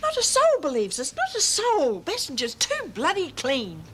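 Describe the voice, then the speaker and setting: A woman's voice: high voice, huge pitch swings, normal speed, a bit bright, slight background noise, full character voice, poor audio. An actress playing a worldly, resigned female inmate, talking bluntly.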